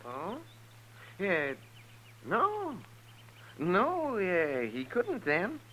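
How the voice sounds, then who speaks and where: A folksy, crusty town doctor of the Old West. His voice is highish and sharp with lively swoops, hesitant and fussy, in 1950s radio western style on a noisy old recording. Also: very slow, exaggerated voice. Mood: hedging, earnest. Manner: hesitant, folksy, muttering exclamations.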